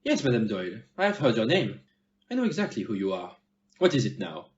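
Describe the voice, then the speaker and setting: A man performs a shrewd, courteous detective in an audio drama. His speech is formal and pointed, with a lively, swinging melody. The recording is a little dull and muffled. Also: fairly low pitch. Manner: formal, measured, pointed phrasing. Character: polite, shrewd, blunt male Belgian detective. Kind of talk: amateur audio play acting.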